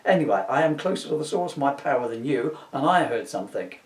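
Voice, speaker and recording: A man's voice: mid-range voice, expressive, quick pace, close to an everyday voice. A man voicing an earnest, declamatory young superhero who gets mildly exasperated, in a comic storybook reading. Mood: puzzled. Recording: roomy, distant camera microphone.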